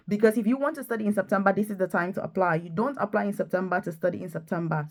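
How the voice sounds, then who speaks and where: Emphatic woman vlogger urging viewers on. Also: mid-range voice. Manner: emphatic, urging, repeats points for stress. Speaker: video host, nurse and career coach. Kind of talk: enthusiastic direct-to-viewer promotional vlog.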